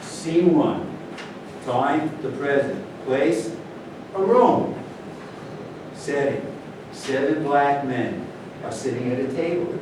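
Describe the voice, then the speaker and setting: A man's voice: takes it slowly, long pauses. A man reading scripted narration and stage directions at a staged play reading. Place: echoing indoor room at a staged reading. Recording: echoey distant room recording, noisy rumble.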